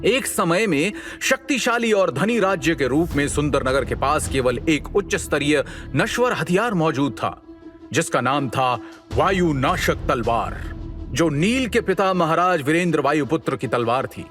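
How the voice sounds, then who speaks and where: A man's voice: on the high side, expressive, a little slow, fairly bright tone. A man giving scripted dramatic narration as the storyteller of an audio series. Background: background music.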